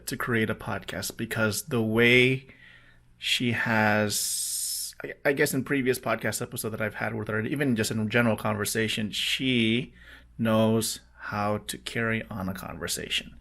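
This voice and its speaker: A middle-aged man with a hoarse, sore voice, rambling casually in a video diary about his work. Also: lowish voice, fairly bright tone. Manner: rambling, many restarts and fillers. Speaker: medical coding vlogger.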